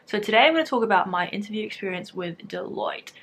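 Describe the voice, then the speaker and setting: A woman's voice: mid-range voice, lively intonation, fast, a bit bright. Young new zealand woman chatting to camera, super casual, lots of likes and ums, laughs a bunch. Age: 20s. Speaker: vlogger.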